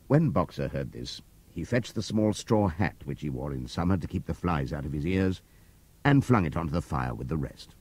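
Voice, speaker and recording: Deep, lively older male storyteller. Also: husky, dry recording. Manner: measured, expressive storytelling with wide pitch swings. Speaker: audiobook narrator. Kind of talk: scripted literary narration. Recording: dry close studio read from cassette tape.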